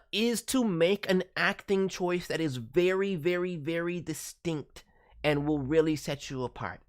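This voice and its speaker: Casting: woman, low-pitched voice, emphatic voice director talking through casual feedback and advice. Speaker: voice director reviewing demo reels. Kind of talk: casual spoken critique with advice.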